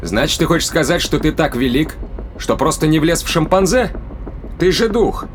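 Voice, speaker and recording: A man's voice: a little high, animated, normal speed, a little hiss or hum, natural voice. A man playing a sardonic, reasoning spaceship crewman in an audio drama, explaining things in a colloquial way. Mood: wry, explanatory.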